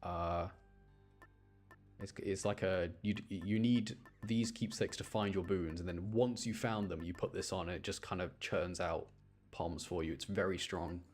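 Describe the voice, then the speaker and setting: Low-voiced man streaming a video game. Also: steady tone, unhurried, darkish tone. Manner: informal, thinking aloud. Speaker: livestreamer. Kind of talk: casual gaming commentary. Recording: game music behind the voice. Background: video game music.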